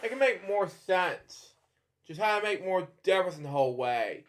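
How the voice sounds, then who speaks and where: A man's voice: sits high, expressive. A man reading a children's storybook aloud, stumbling, restarting and adding rambling side comments. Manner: halting, with frequent restarts and filler comments.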